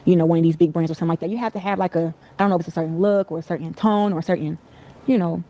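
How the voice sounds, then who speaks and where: A woman's voice: on the low side, fairly even intonation, very fast, mellow. A woman talking casually in an interview, rambling a little as she searches for her words.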